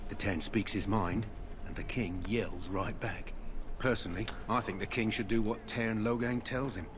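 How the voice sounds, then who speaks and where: A man's voice: on the low side, steady tone, normal speed, background noise, close to an everyday voice. A man voicing a loyal, guarded soldier on watch in a fantasy game, speaking in firm, opinionated statements. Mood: confiding. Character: loyal male camp guard, admiring and guarded. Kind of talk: scripted fantasy game dialogue.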